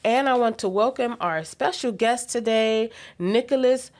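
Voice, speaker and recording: A woman's voice: on the high side, a little slow. A woman with a Bermudian accent hosting a health radio show, interviewing a guest and talking to listeners with frequent ums. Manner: warm-toned hostess, laughs easily, many ums.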